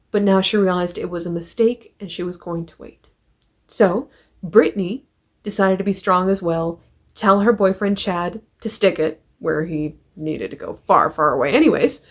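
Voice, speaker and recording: A woman hosting a review, retelling and critiquing a story in an emphatic, conversational way with rhetorical questions. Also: medium pitch. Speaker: comic book reviewer and host.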